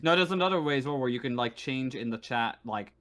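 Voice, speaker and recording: A man's voice: medium pitch, expressive, fast, a bit bright. The guy at the head of an online role-playing night, working out the answer as he says it. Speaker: game master. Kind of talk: narrating a tabletop game, casual improvising. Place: online voice call.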